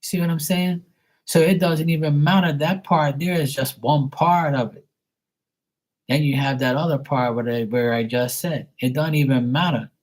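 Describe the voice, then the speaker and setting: A man's voice: fairly high pitch, fairly even intonation, moderate pace. A man from Bermuda, hosting a live stream, talking bluntly and profanely in a rambling casual rant. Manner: blunt, profane, rambling, acts out quoted voices.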